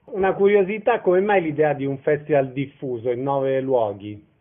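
A man's voice: medium pitch, moderate pace. Male interviewer with narrow, phone-like sound. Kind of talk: short radio-style interview questions.

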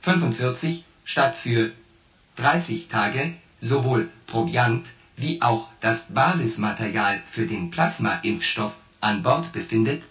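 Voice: medium pitch, expressive, slow pace, fairly bright tone. An actor voicing a shipboard artificial intelligence in a science fiction radio play, coldly logical and pedantic as it itemizes its points. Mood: coldly analytical. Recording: roomy echo, distant voice, music behind.

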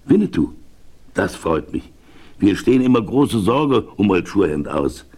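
A man's voice: lowish voice, big pitch swings, normal speed, a little mellow. A man playing a polite, concerned townsman who greets a visitor in a courteous, formal way. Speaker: host receiving a visitor. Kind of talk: 1970s German radio play acting.

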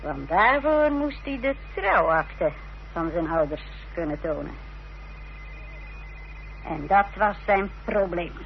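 A woman's voice: lowish voice, animated, takes it slowly, long pauses. An actress playing an unrepentant village wife in a Dutch radio play, telling her story in a self-justifying way. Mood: matter-of-fact, confiding. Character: unrepentant, defiant village wife confessing her part in a fraud. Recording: dull old radio recording with hiss and hum.

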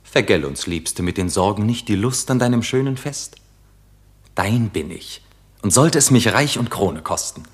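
An actor playing an ardent, carefree young prince in love in a classical German radio drama. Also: male voice, lowish voice, lively intonation, normal speed, slightly rough.

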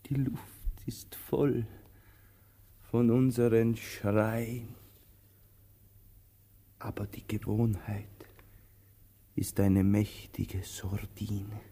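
Weary brooding tramp, radio drama, very slow halting speech, dark mellow tone, theatrical pauses, flares of urgency. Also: male voice, on the low side, level tone, slightly rough, heavily acted. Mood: gloomy musing. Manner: halting, musing, with long pauses and sudden urgency. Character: weary, brooding male tramp, anxious and waiting.

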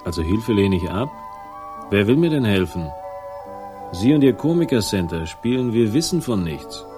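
Low-voiced, wry hard-boiled investigator. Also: male voice, very animated, moderate pace. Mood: guarded, sardonic. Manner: laconic, dry, ironic. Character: wry, hard-boiled male investigator narrating his case. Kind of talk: 1960s German crime radio drama. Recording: music behind the voice.

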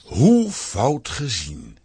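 A man narrating a scripted children's audio play as an expressive storyteller. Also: mid-range voice, extremely slow, vocal fry, husky, no echo.

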